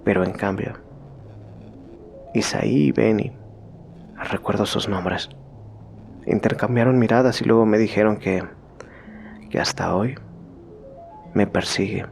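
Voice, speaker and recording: A man reading out a horror story in slow, hushed scripted narration that sometimes sinks to a whisper. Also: fairly low pitch, vocal fry, faint music behind.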